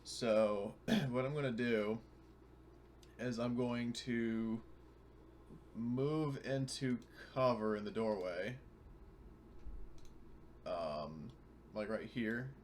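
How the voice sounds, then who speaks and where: A man's voice: medium pitch, drawn-out words, long pauses, darkish tone, slight background noise. A man playing a tabletop roleplaying game, chatting casually and talking through his moves step by step.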